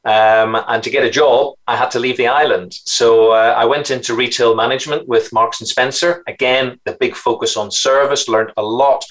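A middle-aged man with a Northern Irish accent from Belfast, telling his business story in an interview with lively anecdotes. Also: mid-range voice, moderate pace, few pauses, rough recording. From Northern Ireland. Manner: animated, anecdotal, repeats words when starting. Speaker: guest entrepreneur.

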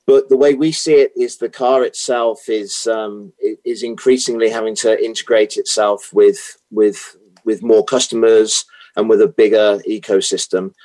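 A middle-aged man with a British accent, answering interview questions with many pauses, fillers and restarts. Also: on the low side, steady tone, a little mellow, rough recording. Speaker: guest, automotive consultant.

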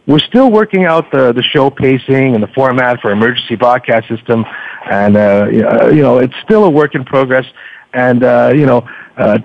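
A man's voice: mid-range voice, dry recording. A man speaking as a podcast guest in casual interview chat, explaining things in a wordy, stop-and-start way. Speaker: podcast guest, book reviewer. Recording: dull lo-fi radio-like line.

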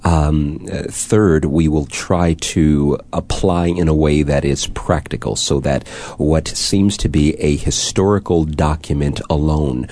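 A man teaching on a discussion panel, laying out his approach in formal, measured wording with pauses. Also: a bass voice, steady tone, warm tone. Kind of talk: formal explanatory talk.

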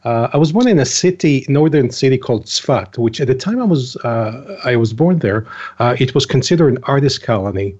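A man's voice: medium pitch, a little fast, husky. Older man telling his story. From Israel. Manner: relaxed storytelling with frequent fillers and small laughs. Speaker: guest. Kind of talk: interview conversation. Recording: dull lo-fi audio.

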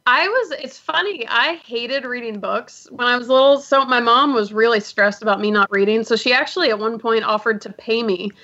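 A woman's voice: on the high side, moderate pace, few pauses, sharp tone. Chatty woman on a muffled line. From Georgia, US South. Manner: chatty, laughs often, self-deprecating. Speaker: podcast guest, business founder. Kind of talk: casual interview talk. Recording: muffled lo-fi audio.

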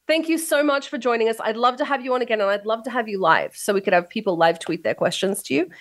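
A woman hosting a talk radio show, hoarse as she loses her voice, playful and teasing with her co-host. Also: on the high side, quick pace, a bit bright, no echo, clean studio sound. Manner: playful, teasing, laughs often. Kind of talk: casual radio chat.

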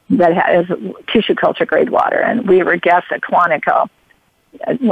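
Radio host, rambling, many restarts, gravelly, dry close sound. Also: mid-range voice, no echo. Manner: rambling, full of fillers and restarts, drifts into own stories. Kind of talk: interviewing a guest on radio. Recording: phone-like narrow sound.

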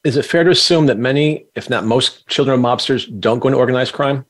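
A man's voice: medium pitch, quick pace, fairly bright tone. A man hosting an interview podcast, reading a formal guest introduction and asking questions steadily. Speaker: podcast host.

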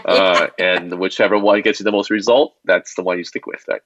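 Dull-sounding recording, low-voiced reassuring man. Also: steady tone, a little fast, fairly bright tone, dry recording. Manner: informal, reassuring, often checks with "right?". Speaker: company founder and CEO, interview guest. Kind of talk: conversational interview answers. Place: remote call. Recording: dull, dry remote call audio.

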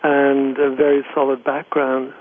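A man, a guest giving thoughtful, halting answers in an interview. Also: medium pitch, monotone. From Northern Ireland. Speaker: guest, economist. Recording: tinny phone line.

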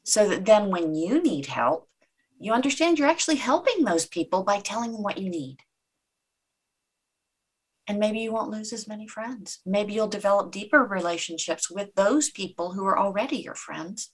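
A woman's voice: mid-range voice, lively intonation, moderate pace, slightly rough. A woman whose first language is Czech, speaking as a guest in an interview with an earnest, reflective manner. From Czech Republic. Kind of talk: conversational interview.

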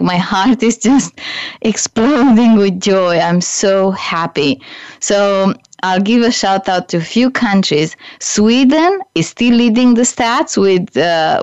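A woman's voice: medium pitch, clean tone, no room sound. A woman from Romania hosting her own business podcast, talking effusively with frequent restarts and little fillers. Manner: warm, effusive, speaks slowly with frequent restarts and "like". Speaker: podcast host and business coach. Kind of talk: solo podcast monologue. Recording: dry, slightly dull studio sound.

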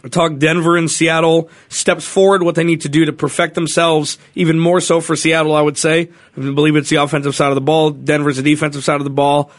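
A male sports talk radio host with a slightly high voice, speaking casually and correcting himself now and then. The sound is close and dry, with no room echo. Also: level tone, fairly quick, hardly any pauses. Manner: chatty, self-correcting, opinionated.

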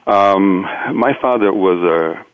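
A man from Brazil, a native Portuguese speaker with a Brazilian accent, giving an interview as a businessman in a halting, hesitant way. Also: low-pitched, clear, resonant tone. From Minas Gerais, Brazil. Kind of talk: interview conversation, recounting own career. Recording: tinny phone-like line.

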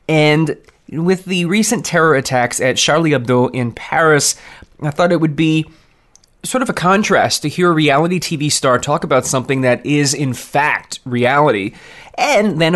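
A man's voice: fairly high pitch, fairly bright tone. A man from the United States hosting an internet talk radio show, upbeat and friendly as he interviews a guest. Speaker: host.

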